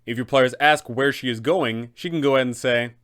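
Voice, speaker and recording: A man's voice: mid-range voice, brisk, fairly bright tone. A man narrating tabletop roleplaying advice in an explanatory style, as a game master.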